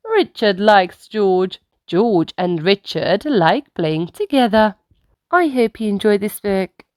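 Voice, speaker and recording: A woman reading a children's story aloud in an animated manner aimed at young children. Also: mid-range voice, slow, dry recording, clean studio sound.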